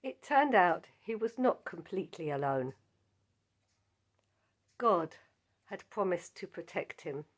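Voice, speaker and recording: Slow-speaking croaky-voiced woman teaching Bible study. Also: lowish voice, very animated. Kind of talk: scripted Bible lesson.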